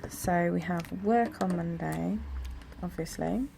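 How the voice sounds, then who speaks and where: A young woman with a croaky, gravelly voice and a lowish pitch talks in a relaxed, rambling way while she decorates her planner. She records at home, and faint traffic hum sits under her voice. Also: slow. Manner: chatty, rambling, soft-spoken. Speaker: planner vlogger. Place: home room near a busy road. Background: traffic noise.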